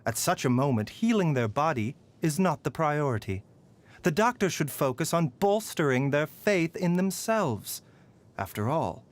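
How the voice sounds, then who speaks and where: Game physician: refined, gently sly scholar, slightly high voice with lively intonation, measured formal delivery. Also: male voice. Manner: polite, measured, formal phrasing. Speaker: game character voice actor.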